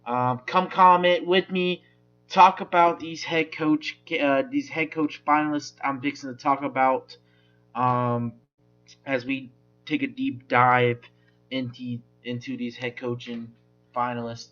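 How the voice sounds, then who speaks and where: A man's voice: mid-range voice, fairly even intonation, a little slow, a bit bright, muffled recording, faint music behind. A man hosting a casual sports talk show, with a loose, rambling delivery full of restarts. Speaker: sports podcast host.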